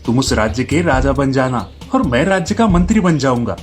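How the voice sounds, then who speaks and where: A man voicing a frank, opinionated village barber, a familiar friend, in an animated storybook tale. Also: mid-range voice, fairly quick, lightly acted. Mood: advising.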